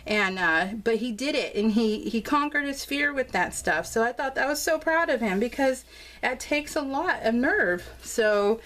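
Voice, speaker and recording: A woman's voice: a little high, expressive, normal speed, a bit bright. An American woman chatting casually and unscripted as a crafting vlogger, with a bubbly, gushing, rambling manner. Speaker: crafting vlogger showing scrapbook pages.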